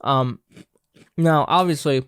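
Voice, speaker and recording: A man's voice: a little high, slow pace, a bit bright, clear, resonant tone. A congested man hosting a podcast, chatting casually and rambling with many restarts while he snacks. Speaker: podcast host. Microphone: own camera and mic, close and dry.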